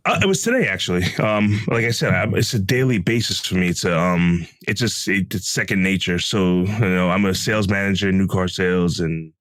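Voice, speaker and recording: Low-voiced man telling casual stories. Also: brisk, hardly any pauses, no room sound. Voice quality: deep, calm voice. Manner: relaxed storytelling with many fillers. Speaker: podcast co-host. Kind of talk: casual podcast chat. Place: home closet room.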